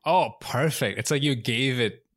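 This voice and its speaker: A man's voice: mid-range voice, a little slow, a bit bright, no echo, studio quality. A man from Bangladesh, a comedian guest, chatting on a podcast over a call in an upbeat, giggly, rambling way. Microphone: remote call.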